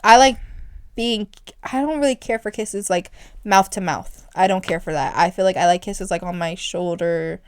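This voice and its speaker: Young woman, podcast co-host, casual rambling chat, animated, dry close mic. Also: moderate pace, clear, resonant tone, no echo. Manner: animated, rambling, gets loud and shrill when excited.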